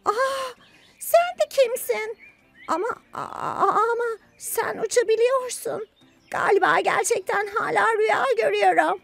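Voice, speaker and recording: A woman voicing a cheerful, wide-eyed little girl in a children's cartoon, with expressive delivery. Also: extremely high pitch, measured pace, creaky, exaggerated voice. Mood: surprised. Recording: music bed behind the voice.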